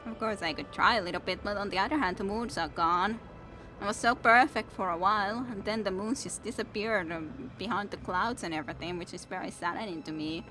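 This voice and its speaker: A young woman streaming a game and chatting with viewers in a soft, musing way; English is not her first language and her voice is worn out from long reading. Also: medium pitch, fairly quick, poor audio. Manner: soft, musing, self-talking. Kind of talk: casual gameplay chat with viewers. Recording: game music in background.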